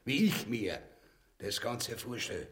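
A man's voice: lowish voice, sing-song, slow, fairly bright tone, heavily acted. A male actor playing a crude, scheming usurper in a farcical radio play, with an Austrian accent and a blustering, colloquial delivery. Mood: scheming, smug.

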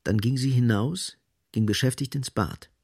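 A man narrating a literary radio play in an observant, expressive manner, with a slightly husky voice. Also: medium pitch, normal speed. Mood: observant, measured.

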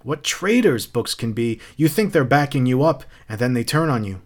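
A man's voice: medium pitch, sing-song, normal speed. A man voicing a smug, taunting fire captain in a story, mocking and theatrical as he spouts literary quotations. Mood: gloating, taunting. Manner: theatrical, mocking, quote-laden speech.

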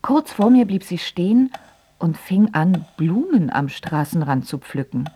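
A woman's voice: lowish voice, very animated, measured pace, mellow, husky, heavily acted. A woman playing a blunt, exasperated young adult daughter smothered by her father, in radio drama dialogue and narration. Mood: wry, narrating. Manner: curt, blunt. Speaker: actress.

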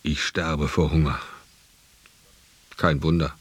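A man's voice: rumbling low, flat delivery, slow pace, slightly rough, no echo, exaggerated voice. An actor playing a bewildered, put-upon family man telling his plight in a radio play monologue, sardonic and exasperated. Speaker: actor narrating in first person. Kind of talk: dramatic first-person audio play monologue.

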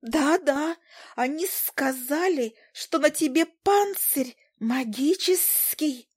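A tiny, nosy fly girl who chatters in a shrill, squeaky voice. The fly is excitable and gossipy, and her voice sits far above the reader's own low register. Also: slow. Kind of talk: audiobook character voice.

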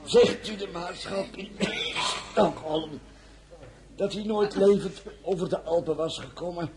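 An actor in a period radio drama playing a bitter, war-weary veteran soldier on guard duty. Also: male voice, high-pitched, measured pace, crowd noise. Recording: noisy radio drama recording.

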